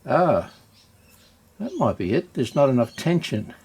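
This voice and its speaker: A man giving a casual, unscripted hands-on demonstration as a hobbyist video host, hesitant and halting as he thinks aloud. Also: mid-range voice, very animated, a little mellow, husky.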